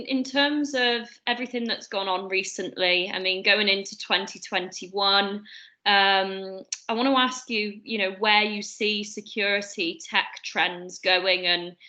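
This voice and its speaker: A woman's voice: mid-range voice, steady tone, measured pace, pushed and bright. A woman hosting a business interview, chatty with lots of fillers. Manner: chatty, many fillers and hedges. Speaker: host, recruiter.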